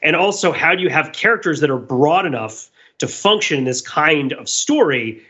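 Male podcast co-host, bright forceful voice, rambling enthusiastic explainer, slightly dull recording. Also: a little high, expressive, normal speed. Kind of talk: casual analytical discussion.

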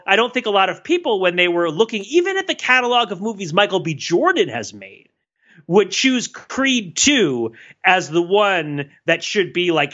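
An excitable man co-hosting a podcast, his voice sitting a bit high and sounding bright and forceful. He talks in eager bursts, restarting sentences as his thoughts race ahead, and the recording is dull and lo-fi. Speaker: podcast co-host. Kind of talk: casual chat. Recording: dull lo-fi mic.

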